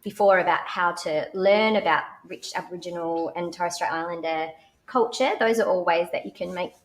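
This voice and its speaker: A woman's voice: fairly low pitch, moderate pace, low-quality recording. A woman hosting a children's story time in an encouraging, effusive way. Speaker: children's show host.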